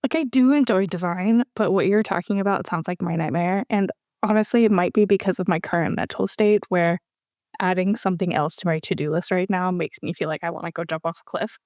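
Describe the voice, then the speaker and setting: A woman's voice: on the low side, a little fast, darkish tone, no room sound, clean studio sound. A woman hosting a podcast in casual chat, a little stuffy with a cold, wry and joking. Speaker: podcast host.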